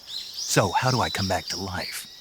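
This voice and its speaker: An actor playing a gruff, dry special-forces soldier in a video game, with a gravelly voice and terse manner. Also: male voice, low voice, measured pace, background noise. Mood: dry, sardonic. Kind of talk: dramatic video game voice acting.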